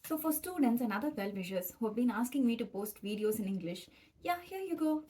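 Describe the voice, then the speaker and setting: A woman's voice: on the high side, animated, a little fast, mellow, husky. A young woman, a native Tamil speaker with a Tamil accent, presenting an educational explainer step by step as a student addressing her viewers. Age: young adult.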